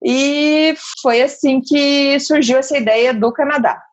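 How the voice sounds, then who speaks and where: A woman's voice: high voice, a little slow, muffled recording. A middle-aged woman from Curitiba with a Curitiba accent, chatting as a podcast guest and telling her story with laughs. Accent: Curitiba Brazilian Portuguese. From Curitiba, Brazil. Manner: lively storyteller, laughs often. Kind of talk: casual chat.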